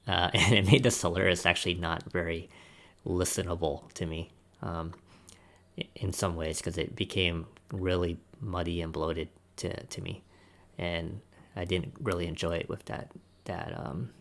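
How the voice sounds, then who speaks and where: A man's voice: low voice, fairly even intonation, normal speed, vocal fry, a bit bright. A man on a podcast panel, casually recounting his own experience in conversation. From United States. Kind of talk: casual personal storytelling in a discussion.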